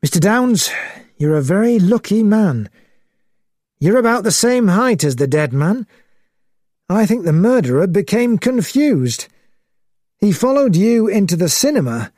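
Earnest police colonel voiced by a man, courteous, worried, measured slow speech, animated, detective audiobook. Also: high voice, no room sound, clean studio sound, voice pushed up, exaggerated voice.

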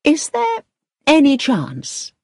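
A woman voicing an eager, determined young woman seeking work in a storybook reading, with a pleading, excitable, emphatic manner. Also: high voice, slow pace, dry recording, clean studio sound, pitched above the performer's own voice, full character voice.

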